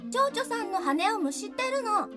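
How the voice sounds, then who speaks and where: A woman's voice: very high-pitched, big pitch swings, normal speed, music in the background. An actress playing a vain, cruel and haughty young girl in a radio drama. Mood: dismissive.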